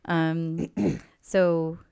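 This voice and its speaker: A woman talking as a guest sex therapist on a podcast, thoughtful and pausing with many ums as she explains. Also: deep voice, little pitch movement, creaky, warm tone, clean tone, dry recording, studio quality. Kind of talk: podcast conversation.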